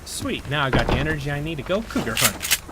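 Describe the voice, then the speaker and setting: A man's voice: fairly high pitch, sing-song, quick pace. Guy rambling through a game for his viewers, voice kinda shot today, noisy mic with clicks. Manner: rambling, chatty, talks to viewers while playing. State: losing his voice. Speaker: gaming video host. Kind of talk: casual gameplay commentary.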